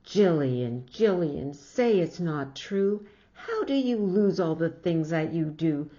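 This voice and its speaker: A woman's voice: low-pitched, lively intonation, slow, warm tone, lo-fi sound. A woman voicing an exasperated, scolding storybook mother in an emphatic way. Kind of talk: picture-book read-aloud.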